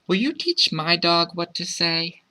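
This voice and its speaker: A man's voice: a little high, very animated, measured pace, pushed and bright, voice pushed up, exaggerated voice. A man voicing an earnest, worried young child in a storybook, pleading and calling out. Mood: eager, calling. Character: earnest, worried young child who loves their dog.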